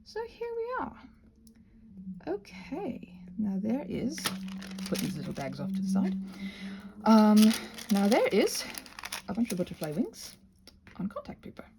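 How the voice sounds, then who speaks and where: A woman's voice: mid-range voice, wildly swooping pitch, a little slow, creaky, long pauses, background noise. A woman casually hosting a craft demonstration, talking in a hesitant, meandering way with self-deprecating asides. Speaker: craft channel host.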